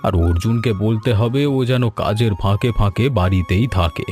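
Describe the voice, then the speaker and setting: A man as a low-voiced village father. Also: normal speed, darkish tone, faint music behind, lightly acted. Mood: glad, planning. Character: village father, organised and considerate, planning a welcome. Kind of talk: cartoon voice acting.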